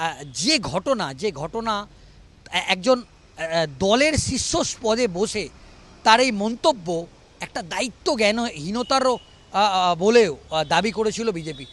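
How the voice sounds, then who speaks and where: A man giving an unscripted news report in a halting, repetitive way. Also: high-pitched, a bit bright. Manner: halting, repeats phrases.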